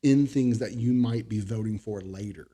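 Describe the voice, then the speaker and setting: A man's voice: on the low side, normal speed, slightly rough. A man in his forties from Florida, a local business owner talking candidly as a podcast guest. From Florida, USA. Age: 40s. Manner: candid, chatty, trails off quietly. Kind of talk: casual interview chat.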